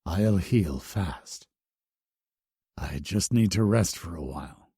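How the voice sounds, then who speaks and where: An audiobook narrator voicing a wounded male alien warrior with scales, speaking low, weary and reassuring. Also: slow pace, mellow, slightly rough, no room sound, studio quality, heavily acted.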